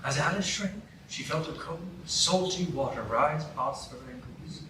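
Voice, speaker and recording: A man's voice: fairly high pitch, measured pace. Man narrating a storybook radio play. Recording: roomy echo from far off, light noise.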